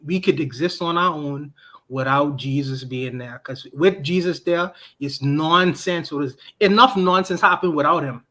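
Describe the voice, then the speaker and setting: A man's voice: fairly high pitch, normal speed, sharp tone. A man co-hosting a livestream podcast, giving his opinion emphatically and repeating his points with frequent restarts.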